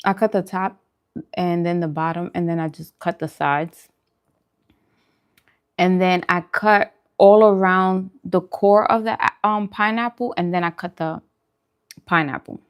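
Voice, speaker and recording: Woman, slightly low voice, casual livestream chat, informal food-channel host, chatty, unhurried pauses for eating. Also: steady tone, smooth.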